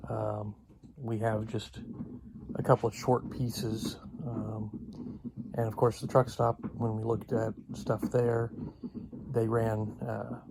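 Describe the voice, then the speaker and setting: A man giving a casual, unscripted vlog update in a halting, rambling way with long pauses. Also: on the low side, little pitch movement, gravelly, noisy background. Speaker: video blogger.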